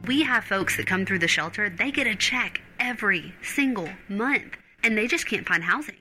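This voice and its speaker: A woman's voice: medium pitch, animated, normal speed, sharp tone, slight background noise. A woman from a homeless shelter, speaking earnestly in a news interview clip. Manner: earnest, conversational, uses "like".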